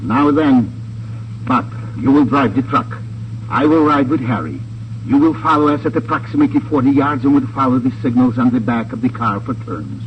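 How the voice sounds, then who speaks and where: An actor playing a smug, suavely menacing crime boss with a pompous, precise delivery in a 1950s radio drama. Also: male voice, medium pitch, animated, moderate pace, lightly acted. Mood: commanding. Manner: pompous, over-precise, relishing his words. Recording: dull, noisy old radio transcription.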